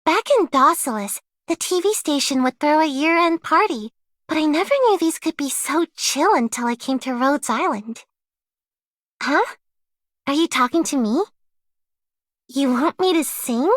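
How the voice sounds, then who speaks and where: A woman's voice: high voice, lively intonation, unhurried, a bit bright, no room sound, clean studio sound. A woman playing a casual, easygoing young woman in a video game, chatty and offhand. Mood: relaxed, amused.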